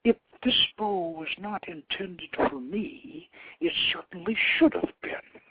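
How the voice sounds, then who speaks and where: A man's voice: high-pitched, lively intonation, a little hiss or hum, voice pushed up. Man voicing an imperious war goddess, tinny line. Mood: haughty, coaxing. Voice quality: vibrant, powerful. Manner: slow, commanding. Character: imperious, menacing war goddess, powerful woman demanding obedience. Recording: tinny phone-line internet radio.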